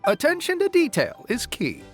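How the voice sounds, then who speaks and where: A man's voice: very high-pitched, huge pitch swings, normal speed, faint music behind, full character voice. A man voicing a bumbling, boastful paper forest creature in a video game, theatrical and self-important. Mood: sheepish, pompous. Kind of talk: animated video game voice acting.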